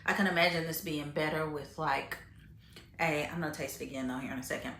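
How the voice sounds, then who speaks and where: A woman's voice: low voice, fairly even intonation, fast, fairly bright tone, reverberant. A woman vlogging casually about grocery finds, chatty with fillers, tasting food as she talks. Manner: chatty, frequent fillers and restarts; eats while talking, tasting food on camera. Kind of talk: casual chat to camera.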